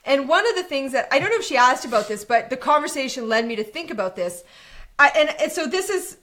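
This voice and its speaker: A woman's voice: high-pitched, big pitch swings, fast, fairly bright tone. A woman co-hosting a live online relationship show, chatting casually and explaining things in a conversational way. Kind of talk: casual live-stream chat.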